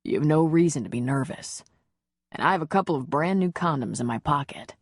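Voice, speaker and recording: A big, tender romantic hero, as a female audiobook narrator plays him, murmuring to his lover. The voice is deep and husky, with a reassuring, amorous manner and a close, dry sound. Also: lively intonation, a little fast, dry recording.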